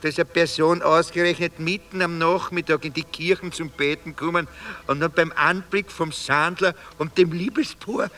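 An actor playing a talkative, wry homeless street philosopher in a radio drama, with a Viennese accent and a rambling, folksy way of talking. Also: male voice, a little high, fairly even intonation, moderate pace, hardly any pauses, bright, forceful tone. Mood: musing, wry. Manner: rambling, musing, folksy storytelling with mock-grand turns. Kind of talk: radio drama acting in dialect.

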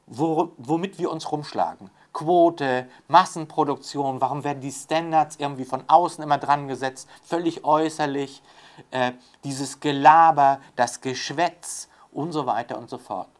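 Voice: mid-range voice, moderate pace. An interviewee talking in a loose, rambling way, full of fillers and tag questions. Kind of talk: discursive spoken commentary.

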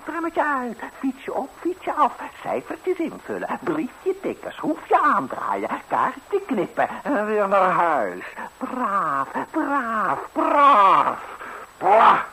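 A man's voice: high voice, pushed and bright, exaggerated voice. A male actor playing a grumpy, cynical old devil in a radio fairy tale, grumbling and exclaiming with scorn. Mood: ranting. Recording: faintly noisy 1960s radio recording.

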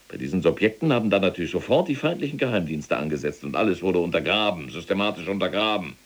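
An imprisoned SS general for an audio drama, a self-justifying fanatic of mature years. His voice sits very low and has a husky rasp. He speaks pompously, as if lecturing, and bristles at contradiction. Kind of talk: German audio drama acting, monologue and argument.

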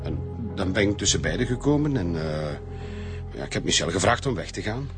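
An actor playing an evasive, flustered Flemish boss in a radio crime drama, answering questions haltingly. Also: male voice, sits low, animated, over music. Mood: flustered, recounting. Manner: hesitant, halting.

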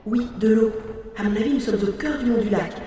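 An actor playing Karl, an anxious, determined adventurer who tells the action as it unfolds in an audio drama. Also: male voice, high-pitched, brisk, slight background noise, lightly acted. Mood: watchful. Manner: dramatic, expressive narrating of the action. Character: Karl, anxious adventurer man tracking captive friend, grumbling, determined. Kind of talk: dramatized audio drama with first-person narration. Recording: echoey room with faint music.

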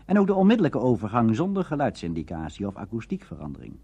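Husky male narrator with lively intonation. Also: fairly low pitch, fast. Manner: formal, expository. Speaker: presenter of a radio drama documentary. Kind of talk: scripted lecture narration.

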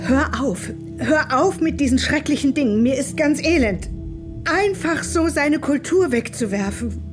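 A woman's voice: high-pitched, lively intonation, music in the background. An actress playing a rule-abiding, dismayed mother in a German radio play, speaking in a disapproving way. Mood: appalled, faint. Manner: slow, disapproving.

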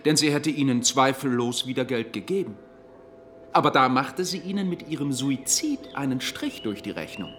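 An actor playing a stern, accusatory lawyer in a radio courtroom drama, speaking in formal, pointed rhetoric. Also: male voice, mid-range voice, expressive, normal speed, fairly bright tone, slight background noise, natural voice. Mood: accusing, scornful. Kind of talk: German radio play acting.

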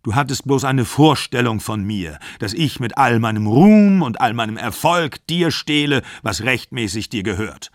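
A man's voice: medium pitch, lively intonation, moderate pace, hardly any pauses, no echo, clean studio sound, close to an everyday voice. A man voicing a furious, bitter aging actor of sixty-five who rants and accuses. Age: 60s. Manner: ranting, accusing, shouting.

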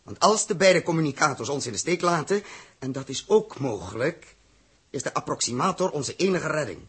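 An actor playing a stern, rational spaceship commander in a 1960s radio drama, speaking deliberately and with authority. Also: male voice, fairly high pitch, big pitch swings, fairly bright tone, breathy edge, heavily acted. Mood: stern, insistent.

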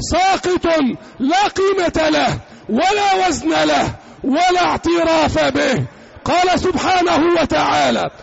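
A man delivering a religious sermon as loud, emphatic oratory, declaiming in short repeated phrases. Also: very high-pitched, creaky. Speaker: preacher delivering an Eid sermon. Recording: dull lo-fi sound, faint background noise.

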